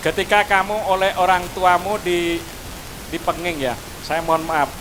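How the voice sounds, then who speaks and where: A man's voice: high-pitched, steady tone, normal speed, noisy background. Man with a Madurese accent, preaching. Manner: preachy, addresses listeners with Javanese honorifics. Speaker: school speaker. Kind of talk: graduation speech to parents and students. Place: outdoor school courtyard.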